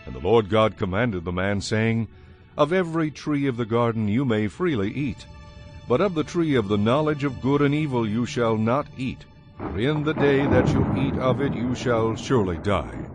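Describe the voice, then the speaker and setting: A man narrating dramatized scripture in a solemn, measured manner. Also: medium pitch, lively intonation. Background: music.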